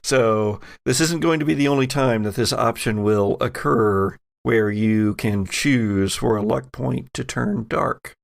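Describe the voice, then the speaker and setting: Male game master, mid-pitched, unhurried and gravelly, narrating with dramatic pauses. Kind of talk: narrating a tabletop roleplaying podcast.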